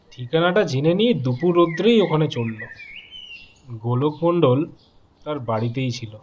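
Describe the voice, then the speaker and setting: Higher-pitched man narrating a horror story. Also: lo-fi sound, slight background noise. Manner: measured, pausing between short phrases.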